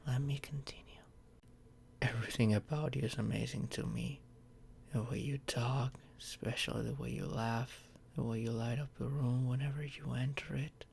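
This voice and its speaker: A man playing a tender, protective boyfriend in a soft, soothing ASMR roleplay, often close to a whisper. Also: mid-range voice, steady tone, normal speed, creaky, slight background noise. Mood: soothing, affectionate. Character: tender, protective boyfriend soothing his overworked partner to sleep.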